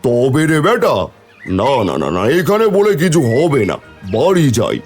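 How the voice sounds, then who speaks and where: Old village landlord for a cartoon male actor: blustering, melodramatic, animated, music behind. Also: on the high side, normal speed. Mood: angry, blustering. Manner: scolding, exclaiming, melodramatic. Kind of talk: cartoon voice acting.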